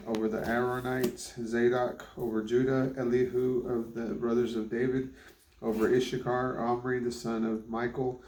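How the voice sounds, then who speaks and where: Man, even-toned scripture reader, echoey room with faint noise, halting on tricky names. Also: medium pitch. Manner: stumbles over names, retrying pronunciations. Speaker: father reading scripture aloud. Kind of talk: Bible reading aloud. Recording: roomy echo, faint background noise.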